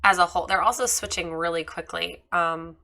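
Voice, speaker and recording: A woman with a slightly hoarse voice after losing it, giving a candid, chatty review of a photographer's website with many fillers. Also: lowish voice, fairly even intonation, brisk, pushed and bright. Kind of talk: casual screen-share critique.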